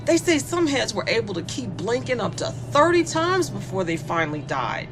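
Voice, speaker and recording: A woman playing a spoiled, bored young female killer in an audio horror monologue, taunting and conversational, with gleeful, drawn-out musing. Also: on the high side, sing-song. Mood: gleeful menace.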